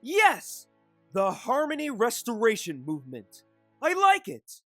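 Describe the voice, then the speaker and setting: A pompous, delighted ruler from a cartoon dub, voiced by a woman. The highish voice makes grand, lively proclamations over faint music. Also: unhurried, no room sound, clean studio sound, heavily acted. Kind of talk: animated comic dub acting.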